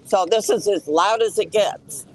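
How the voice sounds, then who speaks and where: An older woman from Colorado, loud and plain-spoken, making a statement outdoors as a case's lead petitioner. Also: mid-range voice, unhurried, slight background noise. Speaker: lead petitioner speaking at a press conference. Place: outdoors on a city street.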